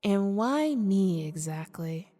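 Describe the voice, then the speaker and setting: A woman playing a manipulative, seductive female demon in an ASMR audio roleplay, speaking formally and deliberately in a coaxing way. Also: mid-range voice, animated, mellow. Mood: probing, teasing. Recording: long cavernous reverb.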